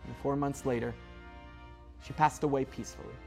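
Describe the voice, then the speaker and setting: A man performing theatrical spoken word, telling a story with a dramatic rhythm and sharp swings in loudness. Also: mid-range voice, steady tone, a little fast, vocal fry, faint music behind.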